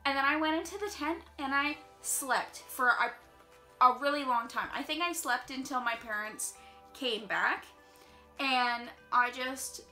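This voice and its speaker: Chatty bright-voiced young woman. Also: on the high side. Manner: chatty, fast-flowing, heavy use of "like". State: slightly congested. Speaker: vlogger. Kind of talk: casual storytime while doing makeup.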